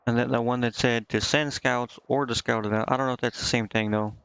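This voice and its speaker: A man's voice: mid-range voice, fairly even intonation, brisk, gravelly, fairly bright tone, clean tone, no room sound, muffled recording. A man doing casual live game commentary as a streamer, hesitantly thinking aloud to himself and reading on-screen text out. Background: game music.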